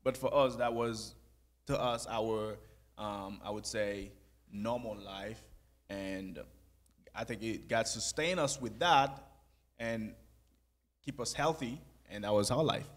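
A young man telling his life story in a church sanctuary, speaking softly into a handheld mic with pauses and easy laughs. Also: on the low side, fairly even intonation. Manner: soft-spoken, halting, laughs easily. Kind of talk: conversational testimony.

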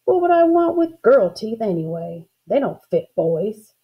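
A woman's voice: a little high, very animated, a little slow, husky, dull audio, heavily acted. A woman voicing an ornery young country boy in a storybook, a teasing know-it-all with a matter-of-fact, folksy manner. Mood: cool denial.

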